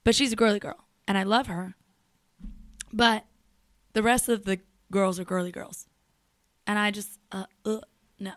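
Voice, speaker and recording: A woman's voice: medium pitch, fairly even intonation, unhurried, long pauses, fairly bright tone, dry recording, clean studio sound. A young woman co-hosting a podcast, telling candid, chatty stories about her plans in a casual chat. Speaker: podcast co-host.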